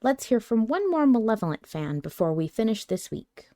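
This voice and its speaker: Female podcast host, soft dark timbre, scripted outro, very dry sound. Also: on the high side, big pitch swings, normal speed, no room sound.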